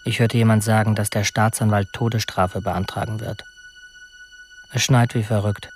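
A man's voice: fairly low pitch, little pitch movement, moderate pace, a little hiss or hum, lightly acted. An actor playing a lonely, brooding young prisoner who reads his diary in clipped fragments for a radio drama. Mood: flat, subdued. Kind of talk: documentary radio drama monologue.

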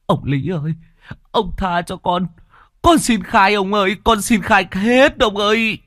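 A beaten peasant man begs and wails for mercy, his voice straining high, as a male storyteller puts him on. The lines rise and fall in broken pleas. The sound is close and dry. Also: lively intonation, a little slow, dry recording. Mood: wailing. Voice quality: high whimpering plea. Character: beaten, groveling young peasant man, pleading.

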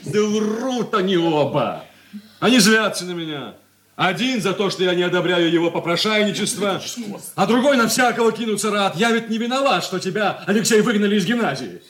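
A man's voice: high-pitched, expressive, brisk, fairly bright tone, roomy sound. An actor playing an indignant, haughty young man in a radio drama, declaiming with theatrical scorn. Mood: scornful. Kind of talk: 1980s Soviet radio play acting.

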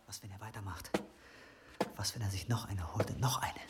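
A man's voice: lowish voice, steady tone, fairly quick, heavy background noise, lightly acted. A young actor in a German audio drama playing an anxious, pious monk who mutters under his breath and pleads with strain. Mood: anxious searching. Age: young adult.

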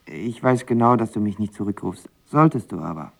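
A man's voice: on the low side, lively intonation, a little fast, gravelly. An actor playing a lovesick, volatile young man in an audio drama, talking in a colloquial, sarcastic, self-deprecating way. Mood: pleading, dejected. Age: young adult. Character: lovesick, volatile young male actor narrating his first heartbreak. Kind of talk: audio drama acting with first-person narration.

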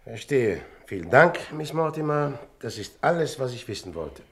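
An actor in a radio drama playing a polite, probing man with a measured, guarded manner. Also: medium pitch, big pitch swings, vocal fry. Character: polite, probing man investigating his brother's death, Mr. Bristol.

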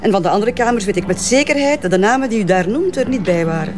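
Casting: female actor for unobliging Flemish front-desk employee, expressive pitch, firm and curt, naturalistic radio drama over background music. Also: fast talker, lightly acted. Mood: firm, defensive. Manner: firm, officious, curtly polite. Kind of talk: naturalistic detective audio drama acting.

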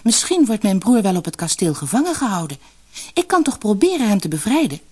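Woman voicing a cheerful, eager young lad, lively. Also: mid-range voice, brisk, breathy edge, pitched above the performer's own voice. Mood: earnest, pleading. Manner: exclaiming, eager. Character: cheerful, kind-hearted young furniture maker's son. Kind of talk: fairy-tale audiobook character voice.

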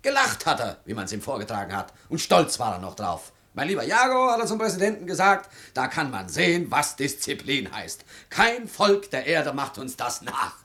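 An actor in a 1950s German radio play, playing a sceptical, blustering imperial police inspector who dismisses things brusquely. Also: male voice, a little high, very animated, moderate pace, few pauses, bright, forceful tone, heavily acted. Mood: amused, sceptical.

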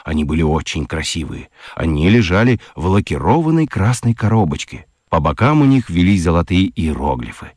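Narrator: a man with a low voice and very lively intonation, dry studio sound. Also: a little fast, few pauses, husky, no room sound. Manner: expressive storytelling. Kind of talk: scripted narration for a children's radio play.

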